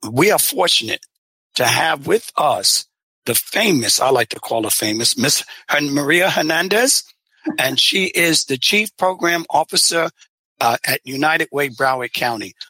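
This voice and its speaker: Bright-voiced man hosting a podcast. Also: medium pitch, slightly rough, dry recording, rough recording. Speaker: podcast host. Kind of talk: community podcast interview.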